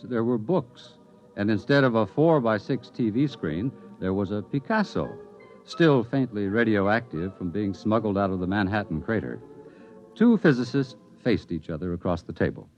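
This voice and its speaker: An actor playing a composed, dry narrator of a 1950s science-fiction radio drama. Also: male voice, on the low side, darkish tone. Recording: dull old radio, music behind.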